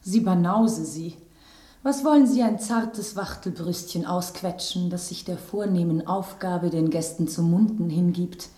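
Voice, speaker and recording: Woman acting a prim, bright-voiced talking rose. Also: fairly low pitch, measured pace, reverberant, natural voice. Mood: indignant. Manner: prim, indignant, flowery diction. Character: genteel, sentimental female rose, prim table flower. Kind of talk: German radio drama acting.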